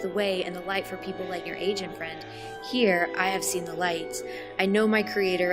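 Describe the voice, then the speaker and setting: Woman playing a serene, low-voiced cult leader. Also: natural voice. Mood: devout. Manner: formal, reverent, measured. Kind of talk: audio drama acting.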